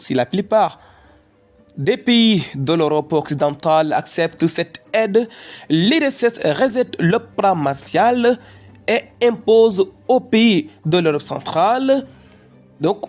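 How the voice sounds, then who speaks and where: A performer in an educational cartoon plays an eager student explaining history to a professor in a halting, deferential way. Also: medium pitch, darkish tone. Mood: earnest, apologetic. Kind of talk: educational cartoon dialogue, lecture-like recitation.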